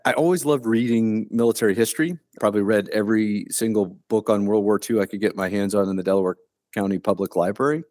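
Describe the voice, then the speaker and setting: A man in his fifties from Ohio, a retired Army colonel telling his story as a guest over a remote call, in a plainspoken, unhurried way. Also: on the low side, darkish tone, no room sound, clean studio sound. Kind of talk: casual interview conversation.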